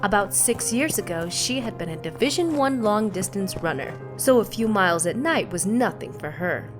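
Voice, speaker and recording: A woman's voice: mid-range voice, very animated, moderate pace, music in the background. A woman reading a scripted suspense story as its narrator.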